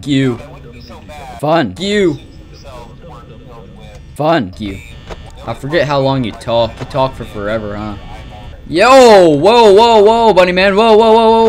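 A young man giving casual, rambling live commentary on a horror game, nervous and exclamatory, with some swearing. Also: mid-range voice. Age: young adult. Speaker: gaming streamer.

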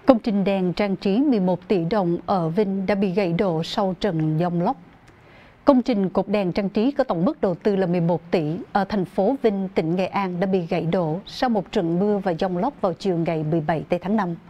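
A woman's voice: mid-range voice, moderate pace, mellow. Woman news anchor, slightly dull audio. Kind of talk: formal scripted news reading. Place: TV news studio.

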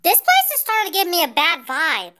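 A performer using a pitched-up voice changer to voice a brave, cocky little alien boy warrior in dramatic anime-style character clips. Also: wildly swooping pitch, a little fast, bright, forceful tone, full character voice. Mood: puzzled, wondering. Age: child character.

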